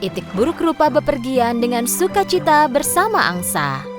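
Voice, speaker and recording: A woman narrating a children's cartoon story in a lively, expressive way. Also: sits high, moderate pace. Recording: narration over loud background music.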